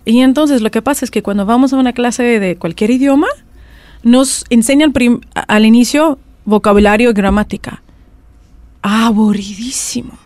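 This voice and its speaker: A fairly high-voiced woman with an accent that mixes Spanish, Argentine and Mexican Spanish. She talks as a language teacher, telling stories in a chatty, animated way and often restarting her sentences. The recording is dry and close. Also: normal speed, no room sound. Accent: mixed Spanish, Argentine and Mexican Spanish accent. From California, USA. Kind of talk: conversational interview.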